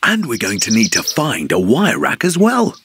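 A man's voice: medium pitch, wildly swooping pitch, normal speed, vocal fry, fairly bright tone, slight background noise, exaggerated voice. A man voicing a jolly, patient father parrot in a children's cartoon, explaining things playfully and expressively. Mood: cheerful, patient.

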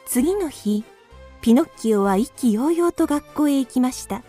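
A woman narrating a children's radio drama in a calm, clear storytelling manner. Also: high voice, normal speed, faint music behind.

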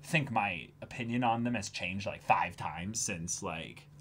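A young man talking casually as co-host of a livestream, in a rambling, jokey, animated way. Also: low-pitched, measured pace, breathy edge. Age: young adult. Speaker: co-host of a manga reading stream. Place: indoor room, seated before a backdrop.

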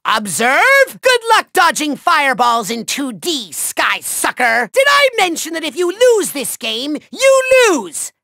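A man voicing a small, megalomaniac cartoon villain in a video game, with a shrill, gravelly, nasal voice and bombastic, gloating, theatrical delivery. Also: wildly swooping pitch, sharp tone, no echo, studio quality, full character voice. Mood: taunting. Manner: bombastic, gloating, sneering delivery with drawn-out words.

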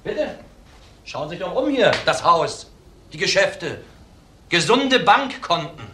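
An actor playing a cold-blooded ex-convict widower in a crime drama, defiant, blunt and self-assured. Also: male voice, sits high, very animated, slow pace, bright, forceful tone, full character voice. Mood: defiant, bitter. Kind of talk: German TV crime drama acting. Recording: faint background noise.

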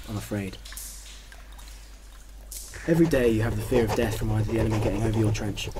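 Man playing a low-voiced protective young soldier. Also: lightly acted. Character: young British soldier, protective, afraid but brave-faced. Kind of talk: First World War radio drama acting. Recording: noisy, with gunfire and artillery behind.

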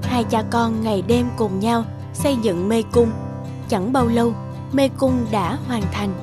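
A woman narrates a story for a cartoon. Her voice sits a little high, and the delivery is scripted storytelling. Music plays clearly underneath. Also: steady tone, a little slow.

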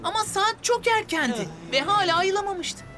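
A woman voicing a cheeky, skeptical young bus in a dubbed children's cartoon, animated and exclamatory. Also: extremely high pitch, normal speed. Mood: teasing, animated. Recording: background music under the voice.

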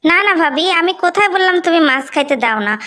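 A woman's voice: very high-pitched, animated, fast, bright, forceful tone, exaggerated voice. A woman with a Bangladeshi accent voicing a meek, wistful young sister in a cartoon story. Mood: meek, pleading. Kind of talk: animated moral story dialogue.

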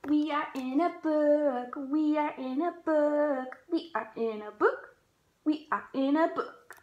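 Woman as a cheerful, high-voiced little cartoon pig. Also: takes it slowly, a little mellow, lo-fi sound. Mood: gleeful chanting. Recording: roomy echo of a bedroom.

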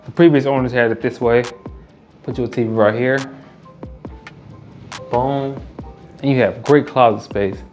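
A man's voice: medium pitch, steady tone, a little slow, pauses often, a little mellow, soft background music. A man gives a casual, upbeat house tour in bare indoor rooms, repeating his catchphrases. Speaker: realtor and house flipper. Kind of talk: walkthrough house tour vlog.

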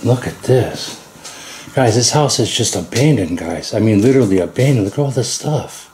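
A man's voice: lowish voice, lively intonation, moderate pace, a little mellow. A man in his thirties exploring an abandoned house on a vlog, talking to viewers in an awed, chatty way. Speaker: urban explorer vlogger. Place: inside an abandoned house and garage. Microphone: handheld camera mic. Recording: echoey empty rooms with background noise.

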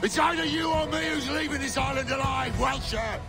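A man playing a reckless, vicious pirate captain in a video game, gravelly, sneering and volatile. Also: extremely high pitch, fairly quick, music in the background. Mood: crazed. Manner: sneering, foul-mouthed, volatile. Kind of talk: dramatic video game acting.

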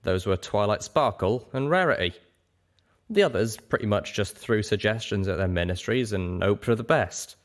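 Storybook dragon, performed by a man: huge, old, male, earnest and wistful, reflective delivery with wide-swinging pitch. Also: animated, normal speed, close to an everyday voice. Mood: explaining, careful.

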